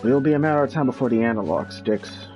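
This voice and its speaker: A man giving casual, halting live commentary as he streams a game, with a dry, grumbling manner. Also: medium pitch, animated, a little mellow, soft background music.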